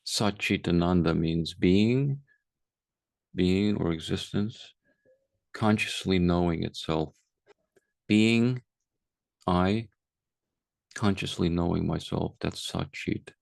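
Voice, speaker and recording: Very slow, deep gravelly male meditation guide. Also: dry recording. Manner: calm, unhurried with long pauses. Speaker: meditation teacher.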